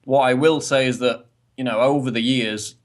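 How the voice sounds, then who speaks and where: English guest with a softened northern accent. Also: male voice, medium pitch, steady tone, normal speed. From northern England. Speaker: guest commentator. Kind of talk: interview answers.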